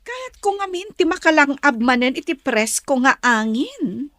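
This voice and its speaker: Casting: woman playing a courted young Filipino lady, polite and hesitant, high animated pitch, unhurried delivery. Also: no room sound, clean studio sound, exaggerated voice. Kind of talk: dialogue in an Ilocano serial drama.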